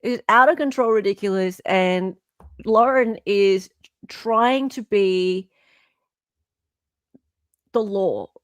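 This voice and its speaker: A woman hosting a live stream, chatting and reacting in an expressive, emphatic way. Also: medium pitch, slow, long pauses, dry recording. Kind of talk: casual chat and reaction.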